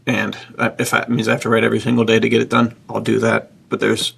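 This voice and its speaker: A man's voice: lowish voice, monotone, fast, creaky, a bit bright, slightly rough. A man, probably middle-aged, chatting casually on a podcast as a host and writer, with informal, bro-like slang.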